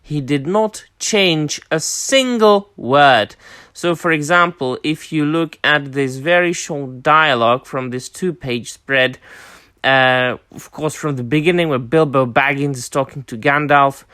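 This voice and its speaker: A man's voice: on the high side, unhurried, sharp tone, clean tone, no echo, clean studio sound. Emphatic man hosting a review vlog. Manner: enthusiastic, emphatic, many fillers. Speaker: host. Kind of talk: book and film review vlog.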